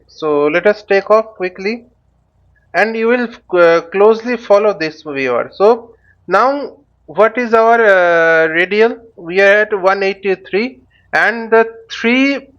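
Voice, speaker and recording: A man giving an unscripted step-by-step tutorial as an instructor, halting and thinking aloud, often posing questions and answering them himself. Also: sits high, fairly bright tone, muffled recording. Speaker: flight simulator instructor. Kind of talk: unscripted tutorial with live demonstration. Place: voice-over recorded while using a flight simulator.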